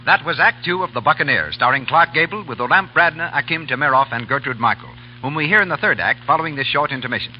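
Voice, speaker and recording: A man announcing a radio program in a smooth, polished, formal way. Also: a little high, fast, hardly any pauses, over music. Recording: muffled 1930s radio broadcast with faint hiss.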